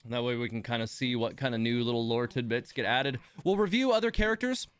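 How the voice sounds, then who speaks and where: A man's voice: mid-range voice, fast, a bit bright. A man hosting a game livestream, chatting casually and informally to his viewers in a rambling way.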